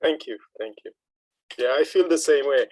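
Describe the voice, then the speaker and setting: A man's voice: medium pitch, moderate pace, no room sound. A man speaks as a workshop participant and amateur performer. His talk is casual, appreciative and conversational.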